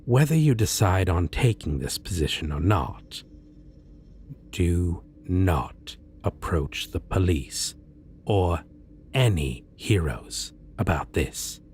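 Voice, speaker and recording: A man playing a suave, theatrically polite supervillain in an ASMR roleplay, speaking with deliberate pauses and sly menace. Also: low-pitched, big pitch swings, a little mellow, slight background noise, full character voice. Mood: businesslike, cautioning. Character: suave male supervillain interviewing a minion, courteous, slyly menacing. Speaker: roleplay voice performer. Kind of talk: ASMR roleplay, scripted monologue.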